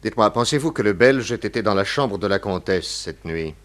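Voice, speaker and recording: A man playing a shrewd, assured detective in a 1960s French radio play, speaking formally with deliberate enunciation. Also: fairly low pitch, animated, a bit bright. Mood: probing, amused. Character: shrewd, assured male detective laying out his case.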